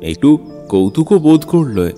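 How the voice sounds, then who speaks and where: A man narrating a literary story in a measured, expressive, dramatic style. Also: on the high side. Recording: long studio reverb, music underneath. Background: music.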